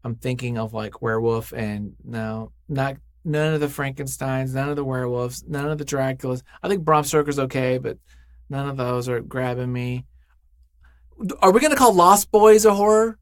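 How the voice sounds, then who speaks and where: A man's voice: mid-range voice, moderate pace, no room sound. Effusive man chatting casually, dry sound. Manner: effusive, emphatic, thinks aloud. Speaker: interview guest, writer. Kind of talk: casual, unscripted chat.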